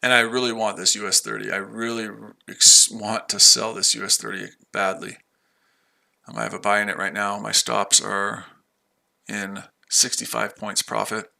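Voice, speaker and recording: A man's voice: lowish voice, level tone, moderate pace, gravelly, sharp tone. An American man casually chatting on a livestream while trading, rambling and talking to his viewers. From United States. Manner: rambling, repeats himself, chats with viewers. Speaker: livestream trader.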